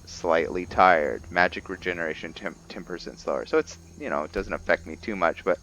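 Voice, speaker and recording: A man giving casual commentary on a game roleplay, thinking aloud in an unhurried way. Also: deep voice, a bit bright, slight background noise, poor audio. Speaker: gamer narrating roleplay playthrough.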